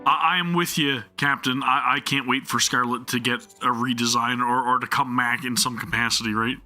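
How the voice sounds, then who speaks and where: A man streaming a live game reaction, talking excitedly with stammers and jokes. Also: medium pitch, normal speed, faint music behind.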